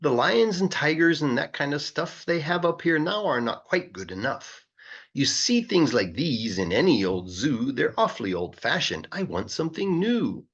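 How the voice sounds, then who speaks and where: A man's voice: medium pitch, slightly rough, muffled recording. Man reading as eager, boastful storybook boy. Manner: excited, boastful, sing-song rhyming delivery. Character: eager, boastful young boy dreaming up a zoo.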